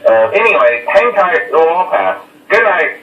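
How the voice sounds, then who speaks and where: Nervous phone caller, filler-heavy, rambling, distant, dull lo-fi phone recording. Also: male voice, high-pitched, sing-song, a little slow. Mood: uneasy. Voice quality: hesitant with many "uh" fillers. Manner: casual, rambling, reassuring. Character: nervous male night-guard phone caller. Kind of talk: recorded game voice lines. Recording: muffled echoey phone-message recording.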